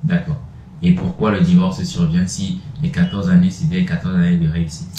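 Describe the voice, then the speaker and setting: A man's voice: low-pitched, flat delivery, moderate pace, dark tone. A man moderating a podcast, asking probing, slightly provocative questions and giving frequent short acknowledgements. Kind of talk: conversational interviewing. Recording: echoey room with faint hiss.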